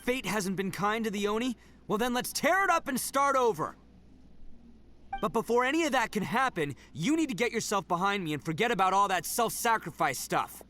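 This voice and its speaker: An actor playing a brash, swaggering young male oni brawler in a game, talking loud and blunt. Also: high voice, big pitch swings, a little fast, a little hiss or hum, exaggerated voice. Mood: earnest. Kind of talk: game cutscene voice acting.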